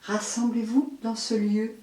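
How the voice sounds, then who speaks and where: A woman leading a guided yoga nidra meditation as an instructor, in measured short phrases with long pauses. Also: on the high side, little pitch movement, mellow. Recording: roomy echo, distant microphone.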